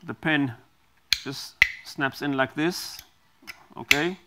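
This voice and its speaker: A middle-aged man explaining and demonstrating a device he designed, speaking haltingly with many ums and restarts, in a small studio on a lapel mic. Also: a little high, very noisy. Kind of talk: product demonstration.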